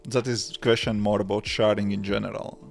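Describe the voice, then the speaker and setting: A man with a Latvian accent talks through viewer questions on a live stream. His low voice is very gravelly and flat, and he speaks in simple English with frequent restarts. Faint music plays quietly behind him. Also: fairly quick. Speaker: livestreaming developer answering chat. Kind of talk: casual live-stream chat.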